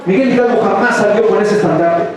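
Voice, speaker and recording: A man's voice: high-pitched, a little fast. A Mexican man with a Mexican Spanish accent, preaching a sermon in church and firing rhetorical questions at the congregation. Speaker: pastor. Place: large church hall with congregation. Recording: echoey hall, distant mic, congregation audible.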